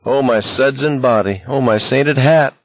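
A man voicing a hysterical young male walker who declaims mock prayers in a jeering, excitable way, in an audiobook story. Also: on the low side, moderate pace, breathy edge. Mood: giddy, jeering. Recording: dull, echoey narration recording.

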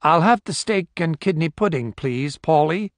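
A man's voice: a little high, animated, normal speed, no echo, studio quality, pitched above the performer's own voice. A man doing a guarded, curious visitor. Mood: probing. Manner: casual, carefully disinterested. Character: curious middle-aged male holidaymaker, guarded.

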